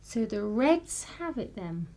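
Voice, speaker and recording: A woman's voice: fairly high pitch, very animated, slow pace, background noise, full character voice. An actress playing a bold, teasing upper-class young Englishwoman in a radio play, poised and arch. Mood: teasing, challenging.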